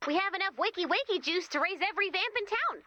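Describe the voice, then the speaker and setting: A chirpy, quirky female commander from a video game. Her voice is very high, bright and animated, with a playful, doting way of talking. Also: fast talker, highs cut off, a little hiss or hum, full character voice.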